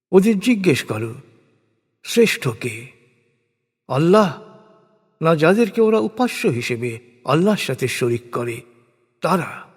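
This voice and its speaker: A man reading scripted religious narration in a solemn, measured, declamatory way, with deliberate pauses. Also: a little high, darkish tone. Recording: long reverberant hall echo.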